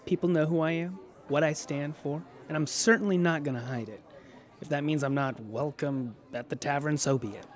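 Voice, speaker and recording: Man playing an earnest, higher-voiced space farmer. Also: moderate pace, some chatter behind, lightly acted. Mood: proud, resigned. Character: earnest, proud, polite male space farmer, an outcast.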